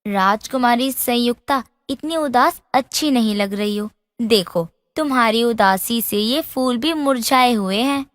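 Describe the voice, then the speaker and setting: A princess's young confidante in a fairy tale, acted by a woman, high-voiced and caring. She coaxes and encourages, and her voice rings in a long hall reverb. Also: measured pace, clean studio sound, close to an everyday voice. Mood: coaxing. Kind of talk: animated fairy-tale voice acting.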